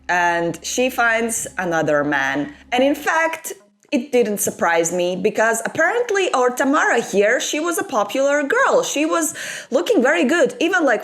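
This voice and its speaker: A young woman from the Baltic states, not a native English speaker, telling a true crime story to camera in a chatty way full of asides, at a desk microphone in a small room at home. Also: mid-range voice. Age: young adult. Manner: chatty, animated, frequent asides. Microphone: desktop microphone in front of her.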